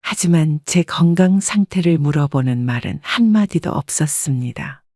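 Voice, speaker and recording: A woman's voice: low voice, expressive, normal speed, warm tone, dry recording, clean studio sound. A woman reading a scripted first-person story as an audiobook narrator.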